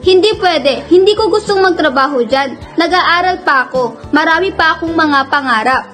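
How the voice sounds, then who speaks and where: A woman's voice: very high-pitched, animated, normal speed, a bit bright, soft background music. A woman playing a distressed, defiant young student protesting and pleading in a radio drama.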